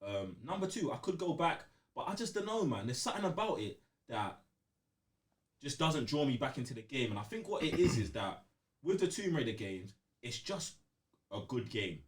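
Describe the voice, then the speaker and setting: A man's voice: mid-range voice, big pitch swings, moderate pace, far from the mic. A man chatting casually on a gaming podcast, using plenty of slang and repeating himself. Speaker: podcast co-host.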